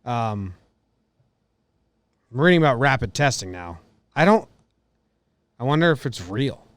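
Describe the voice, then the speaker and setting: A man's voice: medium pitch, sing-song, unhurried, dry recording. A man co-hosting a casual sports podcast, thinking aloud and weighing questions in a tentative way.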